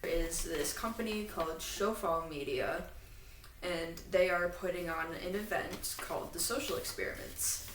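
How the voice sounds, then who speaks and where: A woman with a slightly low voice talks casually as a podcast co-host, explaining things like a fan and drifting into asides. She sounds a bit away from the mic in an echoey room, with faint noise behind her. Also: moderate pace, fairly bright tone, far from the mic.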